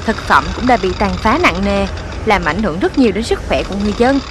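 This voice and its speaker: Casting: woman playing serious cartoon news reporter, mid-pitched scripted delivery over clear background noise. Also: expressive, quick pace, lightly acted.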